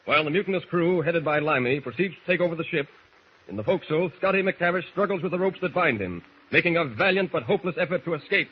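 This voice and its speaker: An actor as the announcer of an old radio serial, narrating in an urgent, dramatic scripted style. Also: male voice, fairly high pitch, quick pace, close to an everyday voice. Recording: muffled old radio recording, faint hiss.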